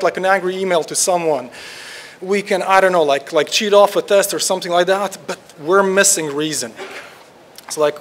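A young man from Croatia, a research scientist giving an informal tutorial talk in a lecture hall, with lots of "like" fillers and restarts. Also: fairly high pitch. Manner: casual, many "like" fillers and restarts.

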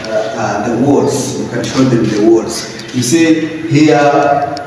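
Slow-talking man in a very echoey room. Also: medium pitch. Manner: halting, repetitive phrasing. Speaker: vlogger plumber. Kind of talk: casual walk-through of a building site. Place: empty unfinished concrete rooms indoors. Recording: very echoey bare room, distant mic, noisy.

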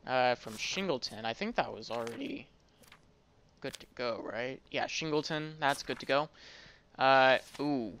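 A man's voice: mid-range voice, expressive, unhurried, fairly bright tone, a little hiss or hum. A man giving casual gameplay commentary, rambling and thinking aloud as he plays. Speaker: gaming video host.

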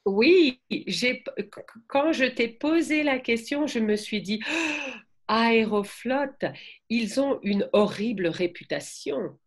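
A woman's voice: fairly high pitch, lively intonation, slow pace. A middle-aged woman hosting a podcast over a video call, asking curious, encouraging questions from a room at home. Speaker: podcast host. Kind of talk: conversational interview.

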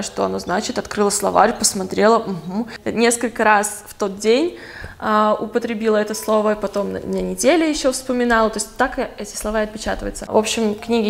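A woman's voice: mid-range voice, gravelly. A young woman answering viewer questions in a casual, chatty vlog, thinking aloud with frequent hesitations. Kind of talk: casual talking-head Q&A.

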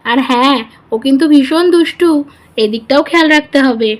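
Woman playing a high-voiced, fretting mother. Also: moderate pace, natural voice. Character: busy, fretting, talkative mother of a schoolgirl. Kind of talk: doll-play family story acting.

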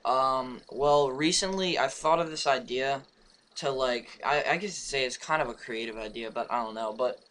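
A young man with a raspy, just-woken voice, rambling through a casual update for his gaming channel with many fillers and restarts. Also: mid-range voice, moderate pace, fairly bright tone, slight background noise. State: hoarse, just woke up. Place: home room beside his gaming setup.

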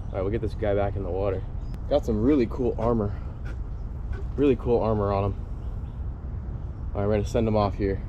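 A man's voice: fairly low pitch, dark tone, muffled recording, slight background noise. A young man casually narrating a fishing vlog outdoors and chatting to his viewers in an upbeat way. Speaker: fishing vlogger. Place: outdoors by a saltwater creek.

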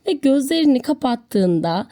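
A woman narrating a children's story in an expressive, scripted storytelling style. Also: high voice, normal speed.

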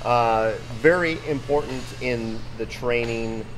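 A man's voice: mid-range voice, takes it slowly. A man in his forties giving an enthusiastic, informal tour to camera as a show host. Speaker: company video show host. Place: large open factory hall, indoors. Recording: noisy factory floor ambience.

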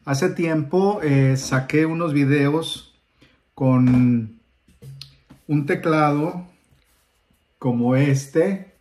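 A man presenting an unboxing in casual, enthusiastic talk, often pausing to search for words. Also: fairly high pitch, darkish tone. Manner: enthusiastic, informal, hesitant with searching pauses. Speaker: vlogger and musician presenting an unboxing.

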